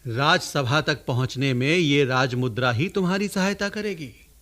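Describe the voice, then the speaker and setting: An actor playing an elderly, learned poet-guru travelling in disguise, speaking in a theatrical, courtly, deliberate way in a classical radio play. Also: male voice, a little high, fairly bright tone, lightly acted. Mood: commanding. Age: older.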